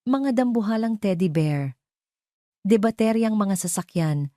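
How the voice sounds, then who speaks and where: A woman's voice: medium pitch, expressive, unhurried, mellow, no echo, studio quality. A woman narrating a scripted romance story.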